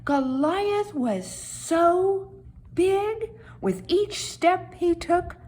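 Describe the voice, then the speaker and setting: A woman voicing a childlike, tender-hearted talking stone that tells a children's Bible story with dramatic, hushed and emphatic delivery. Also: very high-pitched, expressive, drawn-out words, pitched above the performer's own voice, heavily acted. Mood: awed.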